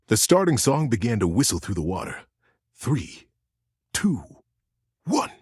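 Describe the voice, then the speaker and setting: A man reading a children's story aloud in an expressive, suspenseful, dramatic storybook style. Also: mid-range voice, slightly rough, no echo, studio quality.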